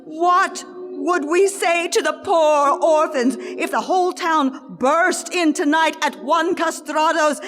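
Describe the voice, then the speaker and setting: An actress playing a shrewd female charlatan who runs a puppet show, declamatory and deliberate, in a period comedy. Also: very high-pitched, a bit bright, heavily acted. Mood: wheedling, insistent. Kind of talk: theatrical reading of a comic period play. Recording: reverberant hall, faint music.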